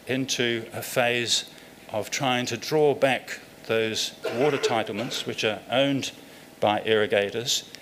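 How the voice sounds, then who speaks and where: A man's voice: medium pitch, a bit bright, slight background noise. A middle-aged man giving a conference keynote from a podium in a large lecture hall, explaining steadily with frequent ums and uhs. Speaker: keynote speaker.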